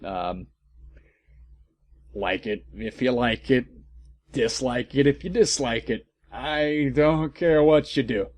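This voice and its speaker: A man's voice: medium pitch, takes it slowly, long pauses. A man giving casual comic commentary as host of a reading series, with a wry, offhand manner.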